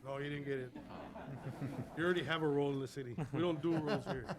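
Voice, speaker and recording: A man's voice: on the high side, fast, crowd noise. A man speaking as a city official, delivering a report at a public meeting. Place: city commission meeting room.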